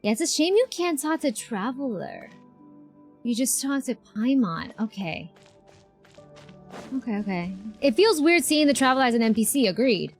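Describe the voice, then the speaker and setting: A woman streaming a video game and reacting in casual live commentary. Her pitch moves around in a very animated way. Music plays behind her voice. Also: moderate pace. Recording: music under the voice.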